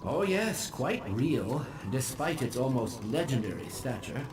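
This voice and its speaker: A man voicing a learned, earnest scientist in a video game, speaking in a theatrical, formal and deliberate way. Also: mid-range voice, sing-song. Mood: grave wonder. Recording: echoey, distant, noisy game audio.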